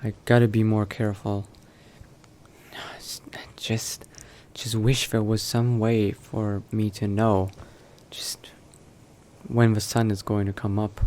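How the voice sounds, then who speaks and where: Brooding new vampire male gamer in the role: lowish pitch, very unhurried, hushed wary self-talk for game roleplay, noisy ambient backdrop. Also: little pitch movement. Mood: relieved, reflective. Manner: hushed, halting self-talk with sighs and pauses. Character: cautious newly turned male vampire, brooding adventurer thinking aloud. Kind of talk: in-character video game roleplay monologue. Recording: noticeable background noise.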